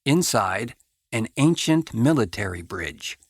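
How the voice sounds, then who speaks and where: An actor playing an edgy sci-fi detective in an audio drama, with a halting, dramatic delivery. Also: male voice, mid-range voice, big pitch swings, dry recording, studio quality. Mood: ominous. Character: edgy, hesitant male sci-fi police detective. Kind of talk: cinematic audio drama acting.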